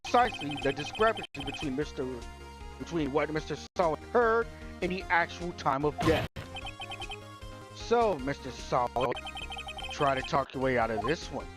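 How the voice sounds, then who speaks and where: An earnest young defense lawyer in a courtroom game, acted by a man. He speaks in declarations, determined yet unsure of himself. Clear music runs underneath. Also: on the high side, animated, normal speed, lightly acted. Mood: confident.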